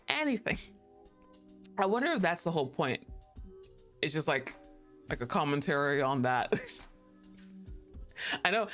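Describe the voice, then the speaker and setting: A young woman streaming, chatting casually and rambling, laughing often and swearing offhandedly. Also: low-pitched.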